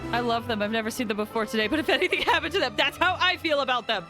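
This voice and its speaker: A woman livestreaming a game, casually commenting on play and chatting to viewers with rambling, expressive asides. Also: on the high side, racing, music in the background. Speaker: game streamer.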